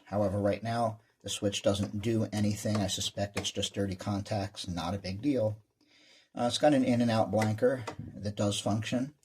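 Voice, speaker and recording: A man's voice: fairly low pitch, darkish tone, slightly rough. A man giving a casual, plain-spoken walkthrough as a hobbyist presenter, explaining things as he goes.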